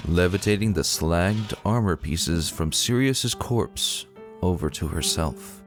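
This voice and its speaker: Male narrator, low register, dramatic audiobook-style storytelling over a music bed. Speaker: narrator of fan fiction readings.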